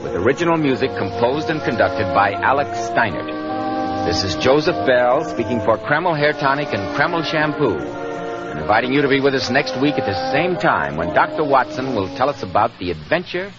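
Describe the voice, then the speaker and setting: A man with an American accent announcing in 1940s radio style, polished and emphatic like a practised pitchman. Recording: muffled 1940s radio broadcast with music.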